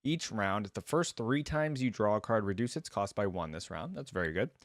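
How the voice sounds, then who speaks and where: A man's voice: lowish voice, lively intonation, moderate pace, dry recording, studio quality. A man hosting a reaction video, giving casual, chatty commentary and musing aloud.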